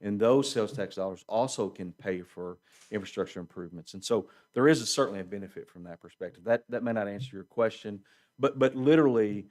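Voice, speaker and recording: A man answering council questions at a city meeting, polite and hesitant, with many pauses and restarts. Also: fairly low pitch, darkish tone. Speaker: utility organization official answering council.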